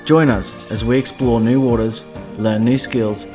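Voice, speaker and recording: A man's voice: mid-range voice, fairly even intonation, unhurried, loud music behind the voice. An Australian man hosting a sailing channel, reading scripted, upbeat voice-over narration.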